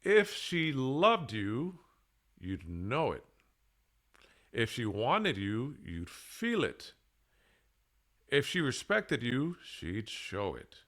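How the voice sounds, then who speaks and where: A man presenting a scripted monologue in a deliberate, halting way, with emphatic pauses between short phrases. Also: medium pitch, wildly swooping pitch, a bit bright. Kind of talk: scripted monologue, public speaking.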